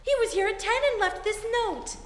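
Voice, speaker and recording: A fussing, exclaiming society mother put on by an actress in vintage radio comedy. The voice is flustered and extremely high. It rings through a reverberant hall. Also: brisk. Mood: frantic, fussing. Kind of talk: 1940s radio comedy acting on stage. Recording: echoey hall, live stage reenactment.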